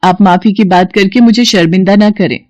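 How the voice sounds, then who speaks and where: A woman's voice: medium pitch, normal speed, a little mellow, dry recording, close to an everyday voice. A woman voicing a polite, caring and dutiful young sister-in-law who speaks respectfully to her elders in a family story. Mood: gentle, respectful.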